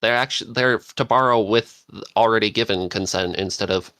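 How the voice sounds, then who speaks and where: A man talking casually and enthusiastically as a podcast panelist, quick to agree with the others. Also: lowish voice, sharp tone, no echo.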